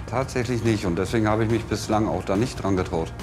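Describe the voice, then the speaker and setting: A man's voice: on the low side, quick pace. A man talking casually and plainly as an apprentice cook. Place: large indoor kitchen studio. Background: background music.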